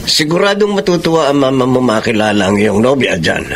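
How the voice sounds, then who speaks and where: A man's voice: medium pitch, brisk, a little hiss or hum, close to an everyday voice. Kindly Filipino father, played by an actor, faint ambience. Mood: warm, approving. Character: kindly middle-aged provincial father, gently admonishing. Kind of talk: Filipino radio drama acting.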